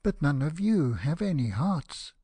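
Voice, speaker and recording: A man's voice: fairly high pitch, very animated, measured pace, warm tone, breathy edge, no room sound, pitched above the performer's own voice, exaggerated voice. A man voicing a pompous, self-obsessed firework rocket in a storybook, declaiming with indignation and self-pity. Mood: indignant. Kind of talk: dramatized storybook reading.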